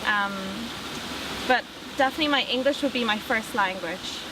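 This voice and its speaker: Higher-voiced young woman, noisy background. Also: normal speed. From Ireland. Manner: thoughtful, with frequent ums. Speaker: interviewee. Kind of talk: casual interview talk. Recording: audible background noise.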